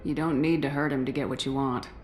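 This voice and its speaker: A principled female commander in a sci-fi game. Her voice is very low for a woman, and she talks fast and firmly, steady throughout, with music clearly behind her. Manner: firm, questioning. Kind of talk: video game character acting.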